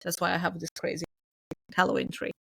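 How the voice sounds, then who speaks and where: A woman from Croatia, whose first language is Croatian, speaks bluntly in a podcast chat and often laughs at herself. Her voice sits a little low. The sound is dry and close, with no room echo. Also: monotone, fast talker, vocal fry, sharp tone, slightly rough.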